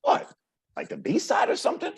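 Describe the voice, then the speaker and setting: A man playing a brash, streetwise poetic performer with a gravelly, husky voice, swaggering and declamatory in a table read. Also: normal speed, natural voice. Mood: brash, incredulous. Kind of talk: dramatic table read, slang and verse. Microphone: video call. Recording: video call.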